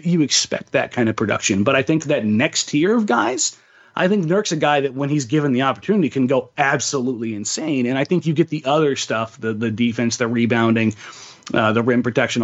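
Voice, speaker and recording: Male sports podcast co-host, mid-pitched, opinionated and conversational, thinks aloud with repeats; slightly muffled lo-fi audio. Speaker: sports podcast co-host giving basketball analysis. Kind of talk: casual opinionated sports discussion.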